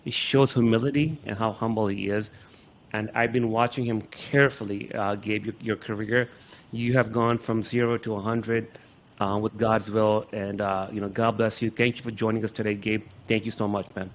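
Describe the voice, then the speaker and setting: A man's voice: fairly low pitch, steady tone, moderate pace. A man hosting a podcast, interviewing his guest in a casual, admiring, conversational way. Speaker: podcast host.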